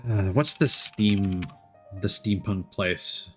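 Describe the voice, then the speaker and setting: A man casually commenting on a game live stream, thinking aloud in a halting way. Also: lowish voice, faint music behind.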